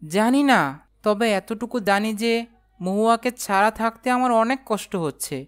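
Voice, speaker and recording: A woman's voice: fairly high pitch, normal speed, faint music behind, natural voice. A woman voicing an earnest, lovesick and confused young man in a cartoon romance. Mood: sad, earnest. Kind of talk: animated romantic drama dialogue.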